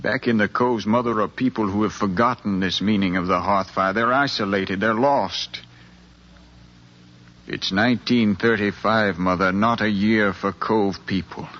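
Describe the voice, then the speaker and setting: Earnest pleading grown son, american, impassioned 1950s radio drama delivery, muffled old recording. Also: male voice, on the low side, steady tone, fairly bright tone. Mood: persuasive, grave. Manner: impassioned, deliberate, speechlike with repeated phrases. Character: earnest, insistent grown son pleading with his aging mother.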